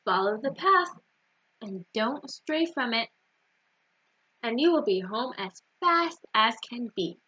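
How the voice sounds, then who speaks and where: A woman's voice: fairly high pitch, expressive, slow, long pauses, bright, forceful tone, breathy edge, full character voice. A woman voicing a wise, kindly male village rabbi in a children's story, assured and instructive. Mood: warning. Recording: dull, roomy lo-fi recording.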